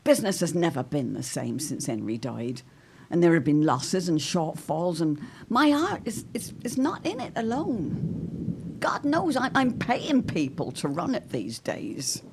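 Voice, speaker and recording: Woman as older widow, period radio play, highly animated intonation, stately old-fashioned diction, proud, fretful, faint noise. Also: unhurried, vocal fry, full character voice. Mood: melancholy. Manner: dramatic, old-fashioned formal phrasing. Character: genteel older widow, anxious yet proudly stubborn. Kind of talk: period audio drama acting.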